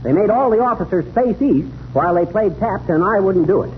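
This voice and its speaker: An actor playing a cocky retired army major who spins tall tales, in folksy American 1930s radio comedy style. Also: male voice, sits high, animated, fairly quick. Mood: boastful, folksy. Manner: folksy, boastful, ungrammatical wisecracking. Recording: noisy, tinny 1930s radio recording.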